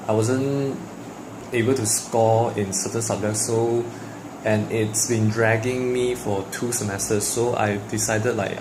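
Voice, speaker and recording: A young Malaysian man, Mandarin his first language, speaks slowly and haltingly in a casual personal vlog, his voice sitting a bit low. He pauses often and restarts his sentences. A steady air-conditioner hum runs clearly under his voice in an indoor room. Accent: Malaysian English. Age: young adult. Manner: hesitant, many fillers and restarts. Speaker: vlogger.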